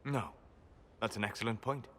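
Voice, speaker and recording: A lowish voiced elf mage from a fantasy game, melancholy scholar type, formal and measured, kinda wistful. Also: male voice, fairly bright tone. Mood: thoughtful admiration. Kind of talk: video game romance dialogue acting.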